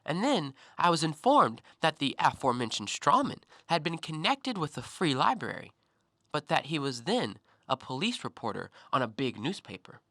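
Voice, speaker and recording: An actor in an audio play, playing a talkative, self-assured intellectual who probes and needles with articulate, deliberate theatrical phrasing. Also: male voice, a little high, very animated, pushed and bright, no echo, clean studio sound, heavily acted. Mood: reflective storytelling. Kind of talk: stage-style audio play monologue.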